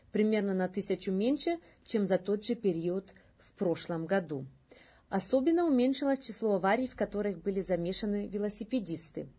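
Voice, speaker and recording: A woman in her thirties reading scripted news as a presenter, with a slightly husky voice. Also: mid-range voice, warm tone.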